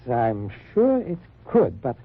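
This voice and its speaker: An actor playing a cagey, excitable German resistance man, formal and hesitant, with drawn-out, emphatic phrasing in old radio drama style. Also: mid-range voice, full character voice. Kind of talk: 1940s-50s radio drama acting. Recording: muffled, noisy old-time radio recording.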